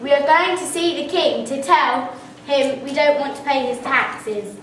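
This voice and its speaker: A woman's voice: sits high, a little slow, a bit bright. A schoolgirl playing a bold, scheming rebel peasant in an amateur puppet play. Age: schoolgirl, about 12. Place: school classroom. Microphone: distant camera. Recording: echoey classroom, distant, background noise.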